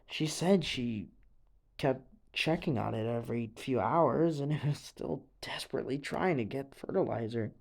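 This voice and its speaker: A young man playing an anxious, haunted teenage boy in an audio drama monologue, speaking haltingly with stammers, sighs and a creaky voice. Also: medium pitch, darkish tone. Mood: nervous, confiding. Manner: halting, stammering, sighing, nervous breathy laughs.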